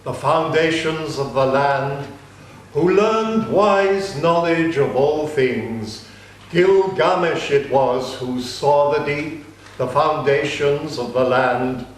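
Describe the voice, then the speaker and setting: A man giving a slow, measured, solemn reading of an ancient epic. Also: on the high side, a little hiss or hum. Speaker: reader. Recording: very echoey room.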